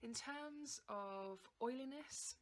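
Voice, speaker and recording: Woman chatting casually, clear background noise. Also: sits high, takes it slowly. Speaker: beauty vlogger reviewing makeup. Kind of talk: casual chat to camera.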